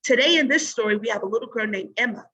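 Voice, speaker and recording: A woman teacher giving a read-aloud lesson in an instructive way, pausing to think aloud and pose guiding questions. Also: on the high side, pushed and bright, husky, highs cut off.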